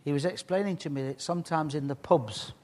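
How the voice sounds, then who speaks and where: Male interviewer, friendly and teasing, higher-than-usual voice. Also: a little mellow. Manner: friendly, teasing, halting questions. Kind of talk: casual interview questions.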